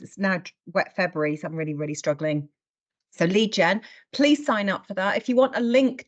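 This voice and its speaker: A woman hosting a webinar informally, chatty and given to acting out imagined remarks. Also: mid-range voice. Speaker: webinar host and trainer. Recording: dull lo-fi webinar audio.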